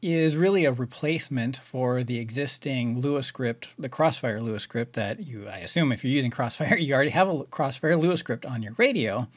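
A man's voice: medium pitch, no room sound. A middle-aged man with an American accent hosting a casual tutorial, explaining things step by step in a conversational way. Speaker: video tutorial host.

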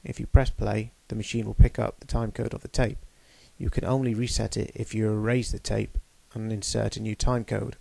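A man giving a measured, technical demonstration of a studio machine. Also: fairly low pitch, flat delivery, gravelly, warm tone, dry recording. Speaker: equipment demonstrator. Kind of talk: explanatory voice-over demonstration.

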